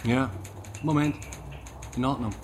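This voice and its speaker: A man acting a hurried police dispatcher who reports in clipped, factual phrases in a German radio crime drama. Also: mid-range voice, fairly even intonation, a little mellow, slight background noise, lightly acted.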